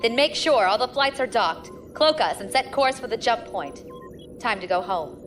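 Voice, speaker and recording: An actress playing a dry, commanding, ambitious space pirate captain in an audio drama. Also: female voice, on the high side, lively intonation, normal speed, over music, natural voice.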